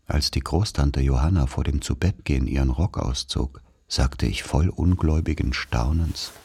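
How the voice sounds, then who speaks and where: A man narrating a literary radio play in the first person as someone recalling a rural childhood, measured and reflective. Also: very deep voice, creaky, darkish tone, husky, exaggerated voice.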